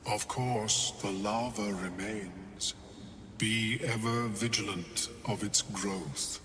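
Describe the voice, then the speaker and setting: An actor playing an ancient, courteous, calculating mind flayer in a video game, speaking formally and deliberately. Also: male voice, on the low side, fairly even intonation, background noise. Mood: solemn, courteous. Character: ancient, courteous, calculating male illithid, calm and cerebral. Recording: long cavernous reverb.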